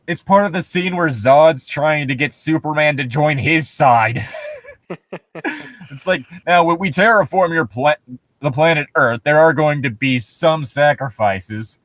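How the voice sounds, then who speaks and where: A man's voice: a little high, normal speed, no room sound. A man co-hosting casual, joking commentary on stories he reads aloud, in an irreverent, sweary way. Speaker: co-host.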